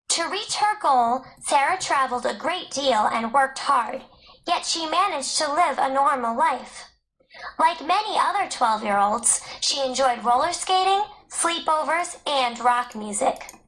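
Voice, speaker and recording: High-voiced woman reading educational narration. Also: fairly bright tone, low-quality recording. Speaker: audiobook narrator. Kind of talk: scripted educational narration, read aloud.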